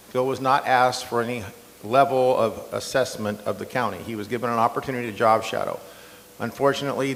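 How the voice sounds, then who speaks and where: A man, an incumbent county supervisor, answering in a candidate debate with a loud, slightly hoarse voice and a defensive, chatty manner. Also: medium pitch. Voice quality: loud strong voice. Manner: defensive, conversational with fillers. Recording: long hall reverb.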